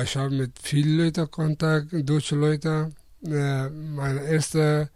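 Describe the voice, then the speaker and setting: Man in his twenties from Afghanistan, interviewee; slow, halting, many restarts; slightly high voice. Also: mellow, no echo. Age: 20s.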